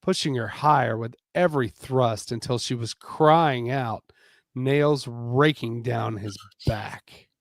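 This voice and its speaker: Guy doing dramatic romance narration, unhurried, theatrical pauses, close dry mic. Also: mid-range voice, no echo. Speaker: narrator and reader. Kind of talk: dramatic reading of a steamy romance.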